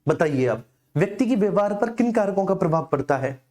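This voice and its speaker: A man's voice: high-pitched, animated, brisk, a little mellow. A man teaching an exam coaching class informally, explaining repetitively and addressing his students directly.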